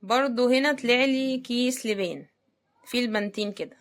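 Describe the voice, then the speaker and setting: A woman with a slightly high voice and an Egyptian Arabic accent hosts an unboxing video. She talks in a casual, chatty way, addressing her viewers directly as she comments on products. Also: fairly quick.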